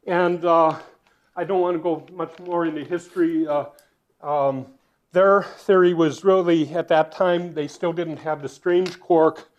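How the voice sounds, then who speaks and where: A man giving a university physics lecture, thinking aloud as he writes, with fillers, restarts and swings in loudness. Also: fairly high pitch, steady tone, unhurried, highs cut off. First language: not Dutch.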